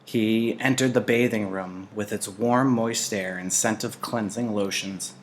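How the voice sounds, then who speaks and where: Low-voiced man reading a story aloud. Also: fairly even intonation, a little slow. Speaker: teacher reading a novel aloud. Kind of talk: expressive audiobook-style read-aloud narration.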